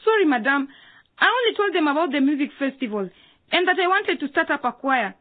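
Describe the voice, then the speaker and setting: A woman's voice: high-pitched, expressive, brisk, narrow, tinny audio. An actress playing a shy, nervous young schoolgirl in a radio drama, hesitant and timid.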